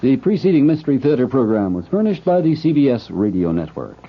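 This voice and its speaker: A man's voice: mid-range voice, big pitch swings, fairly quick, slightly rough. A man working as a radio announcer, reading sponsor credits in a formal broadcast style. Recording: dull old radio broadcast.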